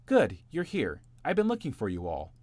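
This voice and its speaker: A man voicing a brisk, motherly mother rabbit in a children's storybook. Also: medium pitch, huge pitch swings, darkish tone, no room sound, voice pushed up.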